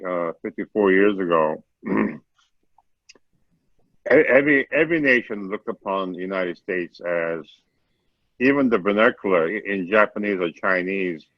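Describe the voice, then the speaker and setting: An older man with a very deep voice comes through a dull, lo-fi call line. He talks haltingly, restarting words and changing pace as he goes. The sound is close and dry, with no room around it. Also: dry recording. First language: Korean. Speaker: webinar participant. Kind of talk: casual spoken comment.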